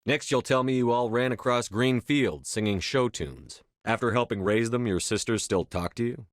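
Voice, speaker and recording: A man acting a stern, steady space-navy commander in a video game, with a measured, dry, authoritative delivery. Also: medium pitch, no echo, close to an everyday voice. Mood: dry, teasing.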